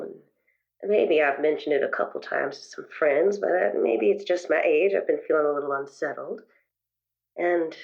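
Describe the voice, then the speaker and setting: A woman playing a weary middle-aged therapist who loves gardening, musing haltingly and reflectively in improvised roleplay, gentle with a quiet bitterness. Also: fairly low pitch, gravelly, highs cut off. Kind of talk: improvised in-character roleplay for an actual play podcast.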